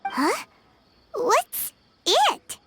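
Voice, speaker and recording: A woman voicing a small, earnest, excitable girlish heroine in a video game. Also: very high voice, very slow, a little hiss or hum, full character voice. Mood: curious. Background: background music.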